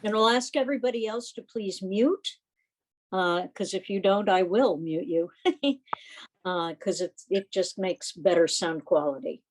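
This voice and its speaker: A woman's voice: medium pitch, lively intonation, a little slow, a little mellow. A woman informally hosting a club meeting over a video call. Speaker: meeting host.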